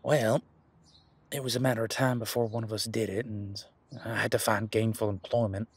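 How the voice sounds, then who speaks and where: A man playing a laid-back, responsible werewolf security guard in a casual roleplay audio, talking in a colloquial, halting, matter-of-fact way with a husky voice. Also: fairly low pitch, level tone, no room sound. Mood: sheepish, defensive.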